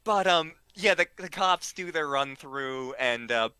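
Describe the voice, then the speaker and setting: Male storyteller, casual and comic, highish bright voice, animated, halting delivery. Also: clear, resonant tone, dry recording. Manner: halting and stammering, acts out dialogue. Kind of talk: casual comic anecdote told to friends.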